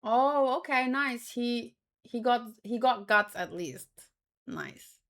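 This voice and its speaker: A woman's voice: a little high, sing-song, takes it slowly, fairly bright tone. A woman chatting casually as a streamer, thinking aloud in a rambling, exclamatory way. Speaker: manga read-along streamer.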